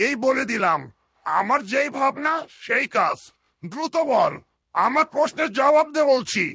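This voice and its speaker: A monstrous hawk from a fairytale cartoon, menacing and bossy. The voice is very high, harsh and husky, pushed hard into threats and orders. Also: male voice, very animated, a little slow, sharp tone, no room sound, clean studio sound, exaggerated voice. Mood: threatening. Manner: commanding, threatening. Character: menacing giant demon hawk, bullying servant of a queen.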